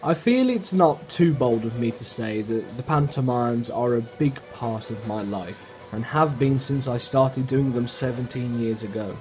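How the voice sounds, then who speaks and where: A man's voice: medium pitch, a little slow, few pauses, a little mellow, faint music behind. A man who makes amateur documentaries, speaking as a narrator and casual interviewer. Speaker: documentary maker and amateur pantomime performer.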